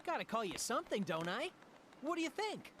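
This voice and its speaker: Lively, high-voiced young hedgehog hero. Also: male voice, a little fast, slight background noise, heavily acted. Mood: friendly. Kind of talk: video game cutscene acting.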